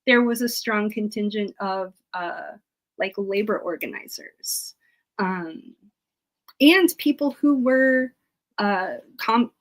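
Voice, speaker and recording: A woman speaking as a guest historian, giving informal interview answers about research and thinking aloud with frequent pauses and hedges. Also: medium pitch.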